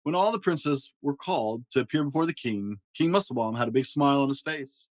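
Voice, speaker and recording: Casting: male storyteller for children's picture books, expressive read-aloud style, mid-range voice, very dry recording. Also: mid-range voice, a little fast, dry recording. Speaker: storyteller and host.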